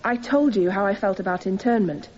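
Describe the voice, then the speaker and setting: An actress as a young woman on trial in a radio play. Her voice is soft-edged and mellow, yet she is stubborn and emphatic, earnest in her defiance. The sound is slightly muffled with faint noise behind it. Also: medium pitch, a little fast, close to an everyday voice. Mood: defiant. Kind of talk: radio courtroom drama acting.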